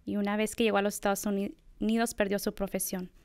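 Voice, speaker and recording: Gravelly yet smooth-voiced woman professor. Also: mid-range voice, flat delivery, fast talker, a little mellow, dry recording. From United States. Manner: thoughtful, reflective, many fillers. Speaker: assistant professor of bilingual education. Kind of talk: conversational interview.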